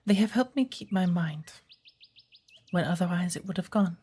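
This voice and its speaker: A patient witch of the woods , acted by a woman, teaches magic in an ASMR roleplay. Her voice is husky and breathy, often dropping toward a hush. She chooses her words formally and delivers them with gentle, measured care. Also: on the low side, expressive. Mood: patient, instructive.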